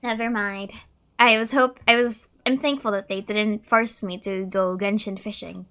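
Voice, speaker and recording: A woman's voice: mid-range voice, steady tone, moderate pace, fairly bright tone. A woman doing casual gameplay commentary, rambling and reacting in loose slang. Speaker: streamer playing and reacting to a game.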